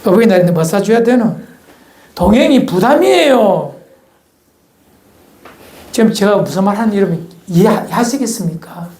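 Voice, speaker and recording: A man's voice: high voice, lively intonation, moderate pace, pauses often, a little mellow. A male pastor with a Gyeongsang Korean accent preaching a sermon in a church, folksy and asking the congregation questions. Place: church sanctuary. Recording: echoey church hall.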